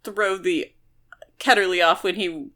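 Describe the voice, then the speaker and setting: A woman with a crisp, bright voice co-hosts a casual book discussion. She is chatty and likes to explain, leaning on key words for emphasis. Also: on the low side, steady tone, unhurried. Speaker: podcast co-host.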